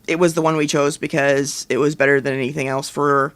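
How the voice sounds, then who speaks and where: A woman's voice: low voice, little pitch movement, fairly quick, no echo. A woman co-hosting a podcast in a casual, conversational style. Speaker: podcast co-host. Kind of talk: casual chat.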